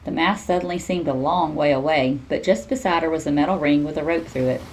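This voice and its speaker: A woman reading a children's storybook aloud in an expressive, teacherly way. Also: deep voice, brisk, poor audio.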